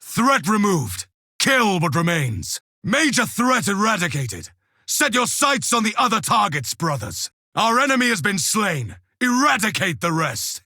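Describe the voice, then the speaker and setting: Forceful, resolute Space Marine. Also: male voice, high voice, very animated, unhurried, no echo, clean studio sound, full character voice. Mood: commanding. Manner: commanding, formal, declamatory. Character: stern, resolute male Space Marine soldier, zealous battle brother. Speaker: video game character. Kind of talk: combat announcements from a video game.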